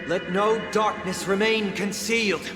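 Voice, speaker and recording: A solemn young male preacher from a video game, with a high, gentle voice. He speaks slowly and ceremonially in long, echoing reverb. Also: steady tone. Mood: solemn invocation. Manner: measured, ceremonial. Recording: long hall reverb, music underneath.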